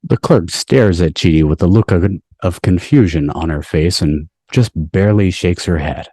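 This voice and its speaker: A man's voice: deep voice, normal speed, mellow, slightly rough, no room sound, clean studio sound. A man reading stage directions as the narrator of a scripted table read. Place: video call from indoors.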